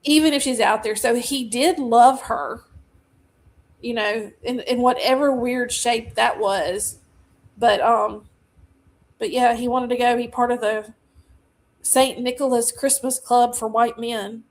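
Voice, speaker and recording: A woman's voice: a little high, fairly even intonation, measured pace. A woman with a Southern US accent, casually chatting about movies on a podcast in a laid-back way. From Deep South of the US. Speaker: podcast co-host. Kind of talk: casual movie review chat.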